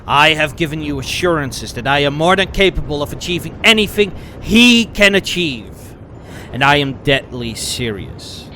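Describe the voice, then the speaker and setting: An actor voicing an arrogant, theatrical mad-scientist wizard in a comic-book dub, pompous and menacing. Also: male voice, fairly high pitch, sharp tone, slight background noise. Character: arrogant, vengeful male mad-scientist wizard, obsessed with vindication.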